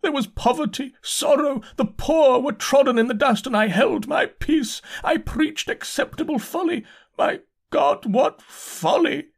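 A man voicing an insane curate in an audiobook, crying out religious doom in drawn-out, declamatory wails. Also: very high-pitched, vocal fry, voice pushed up, exaggerated voice. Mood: anguished repentance. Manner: wailing, declamatory, drawn-out preaching cries. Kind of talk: dramatised audiobook character.

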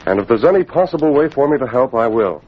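Male actor as principled, cautious gentleman, formal and animated, vintage radio serial, dull recording. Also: medium pitch, fast, a little hiss or hum, close to an everyday voice. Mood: serious, cautious. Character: earnest, principled gentleman of means, cautious and law-abiding. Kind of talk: 1940s radio acting.

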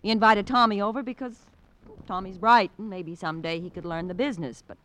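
An actress playing a snobbish, chatty middle-aged married hostess in an old radio drama, indignant and theatrical. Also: female voice, mid-range voice, a little fast, a bit bright, close to an everyday voice. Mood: irritated, dismissive. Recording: noisy vintage radio recording.

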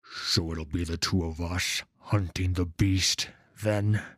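A man voicing a stern, bitter mage hunter from a fantasy story, rough-voiced, sneering and accusatory. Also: low-pitched, steady tone, slow pace, a bit bright, no room sound, clean studio sound, heavily acted. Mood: grim, restrained. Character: stern, bitter male mage hunter, grieving and menacing interrogator.

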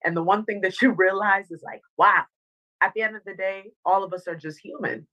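Earnest speaker from dominica, grew up in virginia, mid pitch, reflective, keeps saying you know what i mean. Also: steady tone, fairly quick, pushed and bright. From Dominica, raised in Northern Virginia. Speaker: interview guest, motivational speaker and former TV anchor. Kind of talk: casual interview chat.

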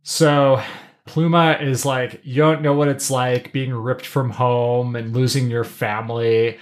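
A man's voice: mid-range voice, level tone, slow pace. A man co-hosting a podcast, retelling a story in casual, slangy language and acting out bits of paraphrased dialogue. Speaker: podcast co-host. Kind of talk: casual episode recap with acted-out paraphrased dialogue.

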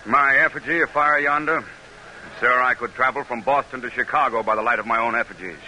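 A resolute, proud American senator in a vintage radio play. He speaks formally and emphatically, like a practiced orator, at a medium pitch, on a noisy old recording. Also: a little fast, sharp tone. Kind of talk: 1940s radio drama acting.

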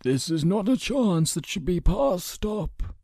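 A man playing a careful, persuasive guest in an audio story, measured but emphatic and fierce when challenged. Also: sits high, very animated, gravelly, warm tone, no echo, studio quality, heavily acted. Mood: careful, encouraging.

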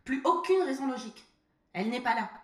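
An actress playing a weary, affectionate wife, softly and intimately chiding her husband in a naturalistic audio drama. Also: a little high, animated, brisk, over music, close to an everyday voice. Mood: weary, tender.

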